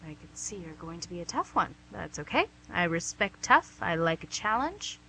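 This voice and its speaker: Lady Devil, acted by a woman: sharp bright voice, smug tempting charm, comic radio play, noisy humming recording. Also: on the low side, very animated, moderate pace, exaggerated voice. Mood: boastful. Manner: teasing, chatty, theatrical. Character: female Devil, playful, charming, smugly tempting businesslike boss. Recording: noisy amateur recording with hum.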